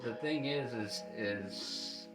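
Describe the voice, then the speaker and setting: Man, slight Irish accent, halting casual chatter, mid-pitched, echoey room, guitar music in the background. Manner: halting, many restarts, profane. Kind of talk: casual livestream chat. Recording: roomy echo with guitar music behind.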